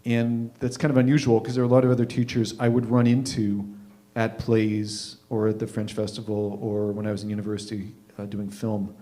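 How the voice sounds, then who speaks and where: A man in his fifties from Canada hosting a live show, telling a personal story informally in a wry, reflective way with deliberate emphasis. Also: lowish voice, flat delivery, warm tone. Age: 50s. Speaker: host of a live readers' theatre show.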